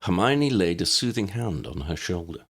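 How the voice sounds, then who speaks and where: A man's voice: low voice, animated, moderate pace, fairly bright tone, breathy edge. A man reading scripted story narration as an audiobook narrator.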